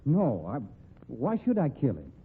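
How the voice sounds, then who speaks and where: An actor playing a cocky gambling cowboy husband who turns sly and defensive, with a drawl, in 1940s radio acting style. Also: male voice, medium pitch, huge pitch swings, a little slow, slightly rough, full character voice. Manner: smug, drawling, evasive. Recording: narrow-band old radio broadcast with hiss.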